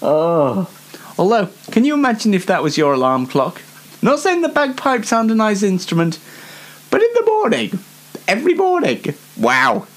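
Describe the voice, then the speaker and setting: British man, comic show host, very animated intonation, rambling, self-mocking, unscripted news commentary. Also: high-pitched, moderate pace.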